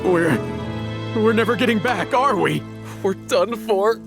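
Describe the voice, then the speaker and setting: Casting: frightened male shipmate, high voice, hopeless and despairing, game dialogue under prominent music. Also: expressive, measured pace, creaky, heavily acted. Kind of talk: video game voice acting.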